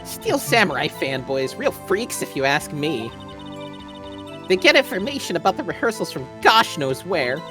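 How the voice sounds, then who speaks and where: A woman's voice: on the low side, very animated, moderate pace, over music. A woman voicing a cranky, gossipy old female witness in an amateur video game read, scornful and huffy.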